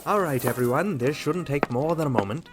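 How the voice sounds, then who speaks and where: A man's voice: a little high, a little fast, music in the background, lightly acted. A man playing a precise, clinical costumed hero doctor in a radio drama. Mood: businesslike. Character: brainy male costumed hero doctor with a scanning visor. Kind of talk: fan radio drama acting.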